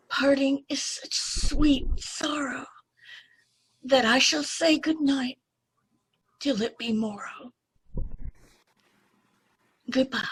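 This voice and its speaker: A woman plays a young sister in an old-time radio drama, high-voiced and slow, with a creak in the tone. She is wistful, gentle and grieving over music. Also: heavily acted, low-quality recording. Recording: home video-call recording with music.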